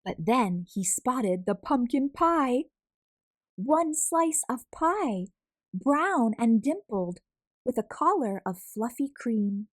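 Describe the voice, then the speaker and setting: A woman reading a children's picture book aloud as a storyteller, expressive and deliberate, drawing out words for emphasis. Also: fairly high pitch, no echo. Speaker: storyteller, read-aloud host.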